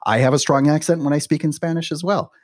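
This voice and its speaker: A man's voice: fairly high pitch, steady tone, quick pace, no room sound, clean studio sound. A man in his forties hosting an interview podcast, talking in a chatty, conversational way and leaning on "you know" and "right.". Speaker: podcast host.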